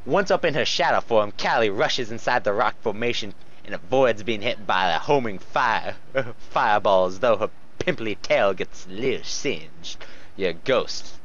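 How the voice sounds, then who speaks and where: A man's voice: on the low side, lively intonation, normal speed, a bit bright. A man doing a comedic read-aloud of fan fiction, stumbling over lines and adding mocking commentary. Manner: often breaks into laughter and stumbles while reading. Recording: dull lo-fi home recording.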